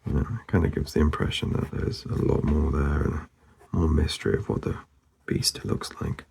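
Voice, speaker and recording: Very deep, gravelly man murmuring casually. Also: animated, normal speed, darkish tone. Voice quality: very gravelly, husky. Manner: laid-back, murmuring, trailing off. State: losing his voice, hoarse. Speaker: artist hosting a drawing channel. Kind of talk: casual narration while drawing.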